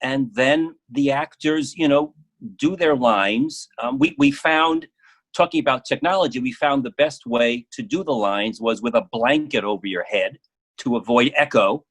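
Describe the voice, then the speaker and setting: Halting, conversational man. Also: mid-range voice, poor audio. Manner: halting, repeats and restarts words. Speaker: interview guest, playwright. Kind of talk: casual interview conversation.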